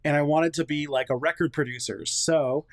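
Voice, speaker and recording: A man in his thirties talks casually as a podcast guest, with a voice a little higher than most men's. He is chatty and self-deprecating and laughs easily. The recording is dry and close, with no room sound. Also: steady tone, normal speed, dry recording. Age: 30s. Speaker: guest, history content creator.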